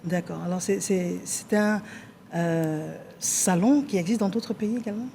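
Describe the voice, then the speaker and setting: Woman tv host, mellow voice, quick little questions and lots of mhm, light hiss. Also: on the low side, gravelly. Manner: brisk, prompting, many short acknowledgments. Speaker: TV talk show host. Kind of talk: TV talk show interview.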